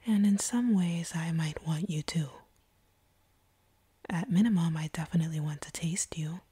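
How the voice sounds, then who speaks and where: A woman playing a seductive, teasing, slightly sadistic vampire captor in an intimate audio roleplay, speaking in a breathy whisper close to the microphone. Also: lowish voice, warm tone, no echo. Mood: taunting, hungry. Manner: slow, teasing, predatory purr. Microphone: close microphone, dry.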